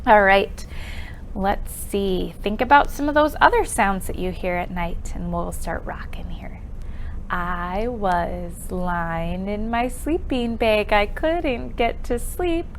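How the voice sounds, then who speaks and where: A woman hosting an interactive children's storytime with sing-along rhymes, speaking expressively and encouragingly, asking questions and leaving pauses for answers. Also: medium pitch, a little hiss or hum. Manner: expressive, exaggerated delivery for young children.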